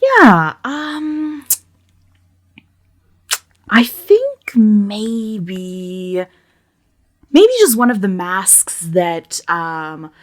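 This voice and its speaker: A woman's voice: medium pitch, very animated, drawn-out words, pauses often. A woman casually describing things as a player in an improvised roleplay game, feeling her way tentatively through the details. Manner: tentative, peppered with "like".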